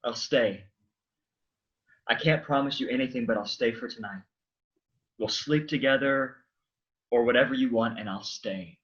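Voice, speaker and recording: A man's voice: medium pitch, moderate pace, pauses often, fairly bright tone, lo-fi sound, natural voice. An actor playing a weary, brooding, sardonic man in a staged play reading. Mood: tender, tired.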